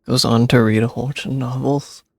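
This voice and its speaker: A man's voice: medium pitch, fairly even intonation, a little fast, creaky, darkish tone, dry recording, studio quality. A man streaming a video game, giving informal, offhand reactions in casual commentary.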